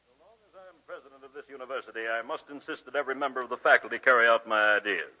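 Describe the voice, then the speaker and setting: Forceful, stern university president. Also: male voice, mid-range voice, very fast. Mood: firm, insistent. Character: stern, demanding reform-minded male university president. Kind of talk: 1940s radio drama acting. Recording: dull 1940s radio broadcast.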